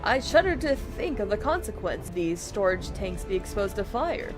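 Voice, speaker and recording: A woman's voice: medium pitch, big pitch swings, moderate pace, lightly acted. A woman reading a confident, formal military commander's briefing aloud from a fantasy video game. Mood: formal, resolute. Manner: formal, orderly, declarative. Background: video game music.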